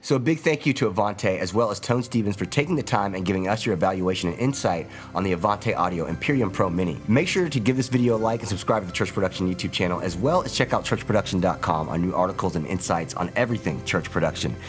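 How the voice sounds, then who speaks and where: Fast, deep-voiced male narrator. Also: expressive, soft background music. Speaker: video host and narrator. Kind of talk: scripted product review narration.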